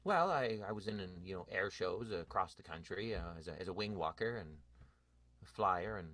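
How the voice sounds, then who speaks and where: A man's voice: sits low, animated, normal speed, fairly bright tone, no room sound. A man acting in an improvised radio soap as a defensive vaudeville actor and former stunt flyer, hesitant and repeating himself. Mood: evasive, hesitant. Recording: music playing behind the voice.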